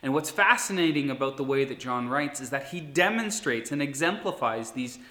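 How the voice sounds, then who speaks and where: A man in his thirties, a pastor, giving a sermon in an earnest, steady preaching manner. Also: medium pitch, moderate pace. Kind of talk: recorded sermon. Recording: echoey room.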